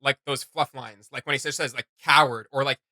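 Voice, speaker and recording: A man's voice: medium pitch, steady tone, brisk, bright, forceful tone, no echo, studio quality. A man co-hosting a reaction video, commenting casually in an informal, slangy, joking way.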